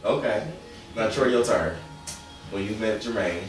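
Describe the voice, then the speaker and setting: A man's voice: fairly low pitch, a little slow. A man in his forties casually hosting a group discussion, picked up by a distant camera microphone. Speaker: show host. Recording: very echoey room, distant mic, faint music.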